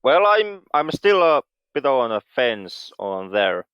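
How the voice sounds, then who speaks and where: A man from Finland, co-hosting a podcast with dry, deadpan humor. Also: mid-range voice, slow, clear, resonant tone, dry recording, muffled recording. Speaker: podcast co-host. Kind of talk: casual chat.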